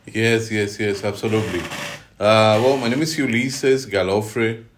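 A middle-aged Colombian man with a Colombian Spanish accent and a very low voice, answering podcast questions. He talks haltingly, filling gaps with "uh" and restarting his sentences. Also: steady tone, a little hiss or hum. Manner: halting, many fillers and restarts. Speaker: guest, team leader. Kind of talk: podcast interview.

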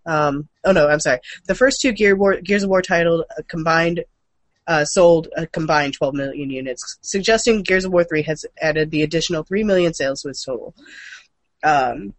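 A man with a fairly high voice hosts a casual chat show. He talks informally, with the odd mild curse. The sound is dry, with very little room to it. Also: moderate pace, no room sound. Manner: informal, mildly profane. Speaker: show host. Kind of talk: casual co-hosted chat about gaming and comics news.

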